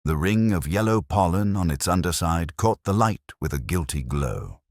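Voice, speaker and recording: Very deep-voiced man narrating a mystery. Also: animated, normal speed, no room sound, studio quality. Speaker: story narrator. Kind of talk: literary scripted mystery narration.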